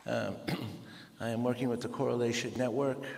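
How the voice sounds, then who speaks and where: A man's voice: mid-range voice, flat delivery, gravelly, darkish tone. Dutch-accented man presenting in a hall. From Netherlands. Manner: measured, with frequent pauses and fillers. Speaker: conference speaker. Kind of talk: conference presentation. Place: large conference hall. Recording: reverberant conference hall.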